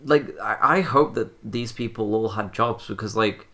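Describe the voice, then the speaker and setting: A young woman with an extremely low voice for a woman. She talks casually as one of the co-hosts of a podcast. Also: moderate pace, fairly bright tone. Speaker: podcast co-host.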